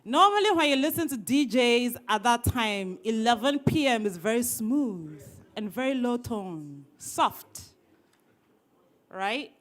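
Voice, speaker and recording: A woman leading a workshop session, lively and teasing, often repeating people's words back to them, speaking into a microphone to an audience. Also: a little high, slow pace. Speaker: workshop trainer. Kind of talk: interactive training session. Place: training room with an audience.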